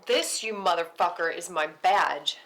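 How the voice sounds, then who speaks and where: A woman's voice: lowish voice, measured pace, fairly bright tone. A woman playing a cocky, defiant, foul-mouthed vigilante at a film script table read, in a noisy live recording. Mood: menacing. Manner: cocky, flippant, sweary.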